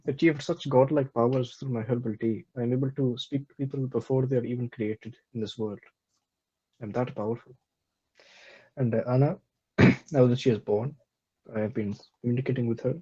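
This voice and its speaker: Man doing a bragging phony doctor, pompous and deadpan, husky mellow voice, lo-fi sound. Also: medium pitch, lightly acted. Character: boastful self-styled male herbal doctor, absurd. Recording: dull lo-fi recording.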